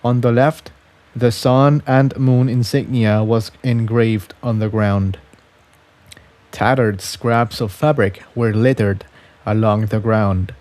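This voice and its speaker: A man narrating a scripted adventure story as a reader of fan fiction, with a somewhat halting delivery that stumbles over words. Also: medium pitch, steady tone, a little mellow.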